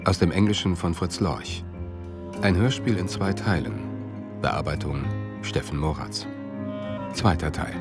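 A man's voice: deep voice, measured pace, long pauses. A man reading out radio play credits as a formal scripted announcement. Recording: loud music behind the voice.

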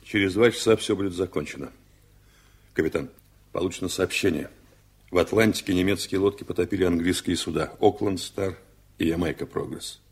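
A man's voice: low-pitched, fairly quick, slightly rough. An actor in a Soviet radio play as a practical ship's officer, businesslike and deferential to his captain. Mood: serious, urgent. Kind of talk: Soviet radio drama acting.

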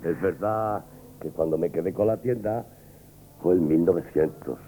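An actor playing a bossy, blustery grocery owner in a radio comedy, with a Spanish accent. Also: male voice, low-pitched, moderate pace, mellow, close to an everyday voice. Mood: affable. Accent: Spain. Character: gruff, impatient grocery shop owner Nemesio. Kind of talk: comic radio theatre acting. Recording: noisy old radio drama tape.

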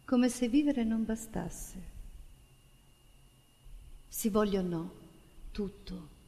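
A woman stage actress reciting a theatrical monologue in a declamatory, deliberate way with dramatic pauses. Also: medium pitch, warm tone. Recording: long hall reverb of a stage.

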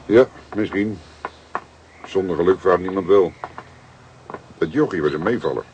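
A low-voiced middle-aged detective played by a man in a crime radio drama. He is calm, courteous and deliberate in how he talks. The recording carries clear noise and clicks. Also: highs cut off, natural voice. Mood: calm, intent.

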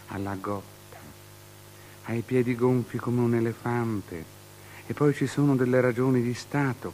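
An actor playing a vain, uneasy, imperious ruler in avant-garde radio drama, halting and repeating himself. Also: male voice, mid-range voice, slight background noise, close to an everyday voice, low-quality recording. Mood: dismissive. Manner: hesitant, repetitive, halting, exclaiming.

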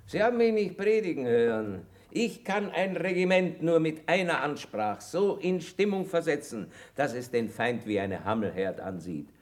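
An actor playing a boastful, cynical army chaplain in a radio drama, pompous and declamatory. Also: male voice, a little high, very animated, moderate pace, few pauses. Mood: aggrieved, boastful. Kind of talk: stage-style German radio drama acting.

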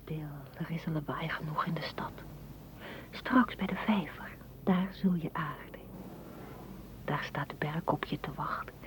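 An actress in a radio play playing a dreamy, tender woman gardener, speaking softly and poetically, often in a whisper. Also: on the low side, natural voice. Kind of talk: radio drama acting. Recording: muffled, noisy old radio recording.

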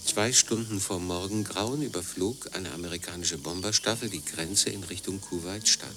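A man's voice: deep voice, normal speed, background noise. A man playing a sombre war chronicler in scripted radio documentary narration.

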